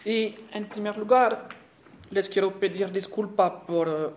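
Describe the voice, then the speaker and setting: A man's voice: sits high, steady tone. A man with an Italian accent giving an academic conference lecture as a historian, speaking carefully and correcting himself often. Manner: careful, halting delivery with self-corrections and fillers.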